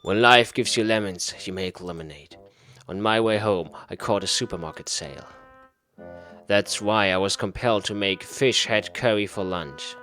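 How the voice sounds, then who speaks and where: A man's voice: fairly low pitch, normal speed, pushed and bright, soft background music. A man reading a visual novel aloud in a theatrical, mock-serious way as a gaming host. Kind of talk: dramatic read-aloud narration of a visual novel.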